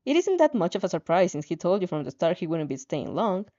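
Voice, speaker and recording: A woman narrates fan fiction in an animated, swooping voice with a mellow tone. She drops in playful, casual reactions and sometimes trips over a word. The audio is dull and lo-fi. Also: on the low side, quick pace. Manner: animated, playful asides, stumbles over words.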